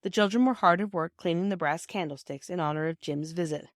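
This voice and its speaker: A woman's voice: fairly low pitch, a little fast, no room sound, studio quality. A woman with a British accent reading a children's novel aloud as scripted storybook narration. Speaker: audiobook reader.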